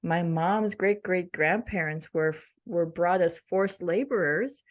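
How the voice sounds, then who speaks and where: A woman's voice: lowish voice, a little slow. A woman speaking as an interview guest, answering in an earnest, reflective, conversational way. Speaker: guest, author and cultural intelligence coach.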